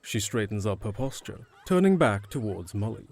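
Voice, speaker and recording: A man's voice: fairly low pitch, very animated, moderate pace, gravelly, a little mellow, husky, heavily acted. A man reading scripted narration for an audio drama.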